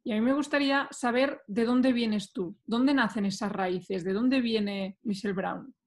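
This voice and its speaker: A woman's voice: fairly high pitch, unhurried. A woman with a Spanish accent from Spain, asking long conversational questions as an interviewer.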